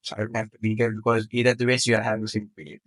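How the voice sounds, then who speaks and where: A man in his twenties hosting a podcast, talking loudly and haltingly with frequent restarts. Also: fairly low pitch, level tone, no room sound. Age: 20s. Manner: halting, restarts often, loud speaker. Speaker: podcast host. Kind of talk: podcast interview chat.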